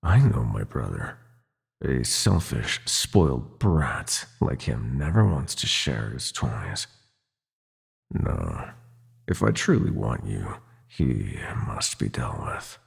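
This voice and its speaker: A man's voice: very deep voice, big pitch swings, slow pace, full character voice. A man playing a sinister, seductive prince of nightmares in an ASMR roleplay, gloating and possessive, with a theatrical, taunting purr that slips into whispers. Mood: gloating, menacing. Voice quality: gravelly, husky.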